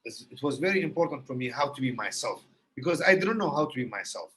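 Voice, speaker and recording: Guy on a podcast, bright voice, earnest, keeps repeating himself for emphasis. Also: mid-range voice, big pitch swings, quick pace, slight background noise, low-quality recording. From Iraq. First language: Kurdish. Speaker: guest. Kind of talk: heartfelt conversation.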